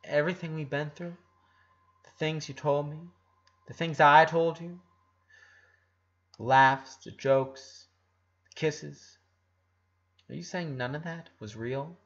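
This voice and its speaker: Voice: medium pitch, fairly even intonation, slow, long pauses, a bit bright, lo-fi sound. A narrator voicing an upset, confrontational preteen girl with emotional, pleading outbursts in an audiobook-style story. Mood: hurt, accusing.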